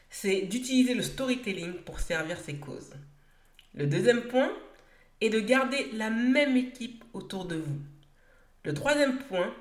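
French woman doing her solo podcast, earnest and emphatic, kinda echoey room. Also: medium pitch. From France. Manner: earnest, emphatic, builds points in short phrases with some hesitations. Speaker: podcast host.

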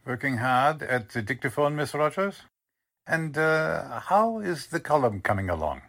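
A man's voice: mid-range voice, very animated, a little slow, background noise. A man playing a smugly polite, condescending Nazi commander in a 1940s-style radio drama, speaking formally. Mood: smug politeness.

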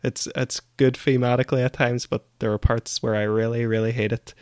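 A man's voice: mid-range voice, level tone, fairly quick, a little mellow, dry recording, studio quality. A man co-hosting a podcast, giving his opinions and recommendations in casual chat. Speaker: podcast co-host.

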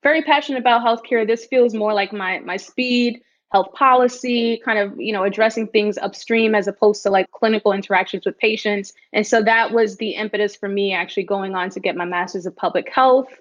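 A woman's voice: mid-range voice, brisk, few pauses, dull audio. A young woman from New York City with an American accent, speaking candidly and reflectively as a guest in a casual interview. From New York City, United States. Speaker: interview guest, startup founder.

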